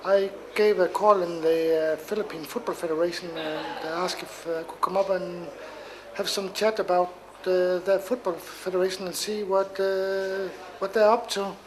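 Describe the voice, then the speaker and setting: High-voiced man from Denmark, halting. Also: fairly even intonation, background noise. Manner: hesitant, many filler sounds. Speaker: football team founder. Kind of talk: interview answers.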